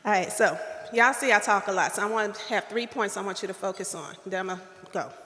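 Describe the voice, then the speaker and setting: A woman's voice: mid-range voice, a little fast, a bit bright, a little hiss or hum. A woman with a light, girlish voice gives a playful, preacherly awards-dinner speech at a podium microphone, drawing call and response from the room. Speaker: keynote speaker at awards dinner. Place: hotel ballroom. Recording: echoey ballroom, reverb.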